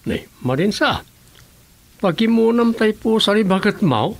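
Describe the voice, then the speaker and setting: A man's voice: sits high, big pitch swings, unhurried, gravelly, exaggerated voice. A man playing a chummy village brother-in-law in an Ilocano comedy radio drama, with an Ilocano accent.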